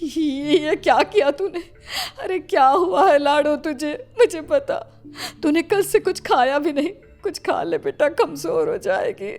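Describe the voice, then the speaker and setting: A woman playing an anxious, doting mother, fretful and pleading in an animated story with music behind. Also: high-pitched, measured pace. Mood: distraught.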